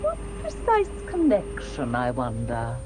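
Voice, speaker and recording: An actress playing a brisk, detached doctor in a British radio drama, articulate and matter-of-fact with a British accent. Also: female voice, very deep voice, wildly swooping pitch, noisy background, exaggerated voice. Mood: probing, curious. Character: brisk, clinical female doctor, detached and talkative.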